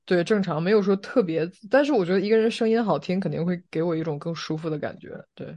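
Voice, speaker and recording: Lower-pitched woman in casual conversation. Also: fast talker, dark tone. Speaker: podcast co-host. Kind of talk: casual chat among friends.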